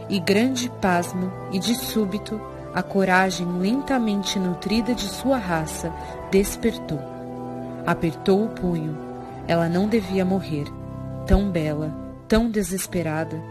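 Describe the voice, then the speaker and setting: A woman's voice: mid-range voice, unhurried. A woman with a Brazilian accent reading scripted, dramatic narration for a fantasy audio drama. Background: prominent music.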